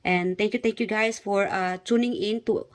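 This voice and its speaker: A woman's voice: mid-range voice, steady tone, normal speed. Filipino woman giving a tarot reading. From Philippines. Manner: hesitant, many fillers and repeats, speaks directly to viewers. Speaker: tarot reader. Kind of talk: casual tarot reading to camera.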